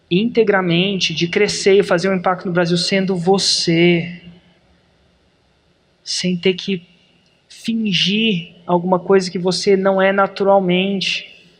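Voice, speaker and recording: A middle-aged man from Brazil hosting a podcast, teaching in an energetic, emphatic way, slowing down hard to stress points. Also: high voice. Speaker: podcast host. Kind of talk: animated business coaching talk.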